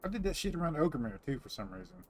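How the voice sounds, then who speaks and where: A man's voice: fairly high pitch, very animated, fast talker. A man in casual, informal chat while playing a game, with loose colloquial phrasing, on a slightly noisy mic. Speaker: gaming channel co-player. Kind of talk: casual chat during gameplay. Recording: faint background noise on mic.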